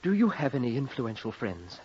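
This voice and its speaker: An actor playing a polite, calculating young gentleman heir in an old radio drama. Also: mid-range voice, big pitch swings, brisk, breathy edge. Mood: cool, matter-of-fact. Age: young adult. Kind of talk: 1950s radio drama acting. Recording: muffled vintage radio recording.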